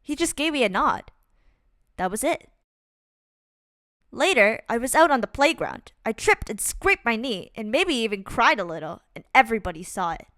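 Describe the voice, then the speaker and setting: A woman reading a children's picture book aloud as a storyteller, in an expressive, deliberate and dramatic way. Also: fairly high pitch, dry recording, clean studio sound. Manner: deliberate, dramatic delivery with acted-out exclamations. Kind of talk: expressive read-aloud storytime narration for kids.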